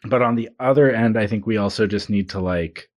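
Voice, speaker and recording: A man hosting a comedy podcast, discussing ideas in a reflective, discursive way with halting phrasing. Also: lowish voice, no echo, studio quality.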